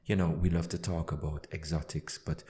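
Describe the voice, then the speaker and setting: A man's voice: extremely low pitch, fairly quick, slightly rough. A man from Jamaica, an English teacher hosting a podcast, chatting playfully with a student and then reading his show intro. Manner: lively, playful, switches between English and German.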